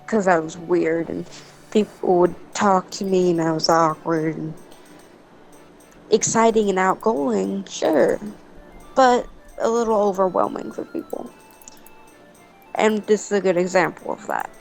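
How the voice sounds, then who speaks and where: A woman's voice: on the low side, measured pace, vocal fry, pauses often, soft background music, rough recording. A young woman chatting casually and telling rambling stories, with a giggly manner. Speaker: video co-host. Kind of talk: casual storytelling chat over a game.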